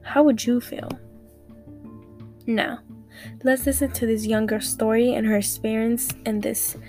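A woman's voice: on the high side, steady tone, unhurried, darkish tone, soft background music. A teenage girl hosting a student podcast, reading an introduction and asking questions with frequent "like" fillers. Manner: reads intro, prompts with "like" fillers. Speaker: podcast host.

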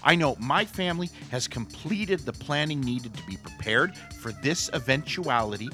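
A man's voice: mid-range voice, animated, normal speed, music in the background, lightly acted. An actor playing an earnest, mildly preachy radio co-host in comic banter, prim and hedging in his talk.

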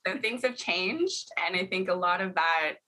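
A woman's voice: on the low side, animated, normal speed, bright, forceful tone, rough recording. A woman co-hosting a podcast, asking questions in an eager, encouraging way. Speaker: podcast co-host. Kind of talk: casual interview chat.

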